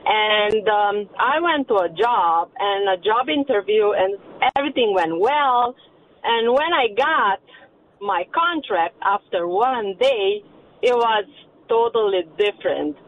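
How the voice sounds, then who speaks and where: A woman from Romania calls in by phone and speaks with a clear Romanian accent. She talks in halting bits, full of fillers. The line is tinny and thin, like an old phone. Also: mid-range voice, expressive. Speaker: caller.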